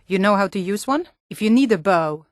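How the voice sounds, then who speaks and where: A woman's voice: mid-range voice, animated, fairly quick, dry recording, clean studio sound, lightly acted. A woman voicing a guarded, self-reliant young archery instructor in a video game, plain-spoken and composed. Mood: guarded, matter-of-fact. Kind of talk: video game character dialogue.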